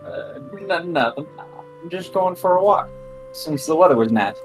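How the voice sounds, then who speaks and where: A man's voice: fairly high pitch, lively intonation, normal speed, soft background music, natural voice, rough recording. A man voicing a shy, timid teenage girl who stammers and hesitates. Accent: put-on accent.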